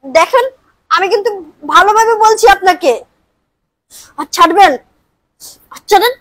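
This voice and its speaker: A woman's voice: extremely high pitch, animated, normal speed, a bit bright, dry recording, clean studio sound. A woman playing a feisty, insistent female delivery worker in an amateur melodramatic skit. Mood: furious, scolding. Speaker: actor in an amateur drama video.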